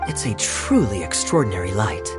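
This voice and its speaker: A woman's voice: rumbling low, very animated, normal speed, over loud music. A woman voicing a cool, perceptive adult character in a video game, composed and knowing. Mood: calm.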